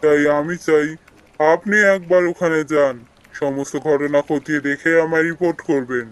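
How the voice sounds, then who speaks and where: A man's voice: on the high side, steady tone, moderate pace, smooth, natural voice. A man voicing a formal, businesslike office boss with an authoritative manner in a cartoon story. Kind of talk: animated cartoon story acting.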